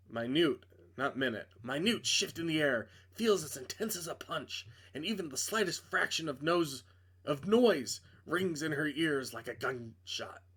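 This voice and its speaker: A man's voice: a little high, bright, forceful tone, breathy edge. A man reading a visual novel aloud as dramatic narration, with casual asides when he stumbles and corrects himself.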